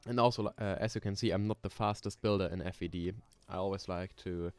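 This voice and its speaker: A young man from Germany, speaking English with a German accent, his voice sitting a little low. He talks in a relaxed, unhurried way on a casual live stream, musing aloud with frequent ums and soft laughs while keyboard typing clicks behind him. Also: clean tone, dry recording, faint music behind. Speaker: streamer building roller coasters.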